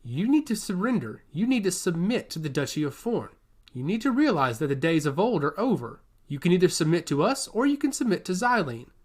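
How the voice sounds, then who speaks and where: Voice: medium pitch, big pitch swings, a little fast, darkish tone, slightly rough. Arrogant young envoy, lilting formal threats , read by a narrator. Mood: imperious. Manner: imperious, formal, coolly threatening. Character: arrogant young male envoy of a rival duchy.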